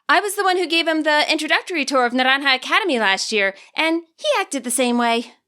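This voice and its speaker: A woman's voice: high-pitched, animated, fast, pushed and bright, voice pushed up. A woman voicing a bubbly teen schoolgirl. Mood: reassuring. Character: overeager chatty teen girl student council president.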